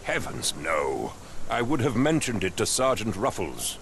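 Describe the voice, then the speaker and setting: Middle-aged male English captain for a game: pompous, curt, slightly deep, outdoor noise behind. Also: very animated, unhurried, gravelly, a bit bright, exaggerated voice. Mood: dismissive. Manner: pompous, clipped, condescending. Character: pompous, impatient male English captain, haughty wealthy master. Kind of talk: video game voice acting.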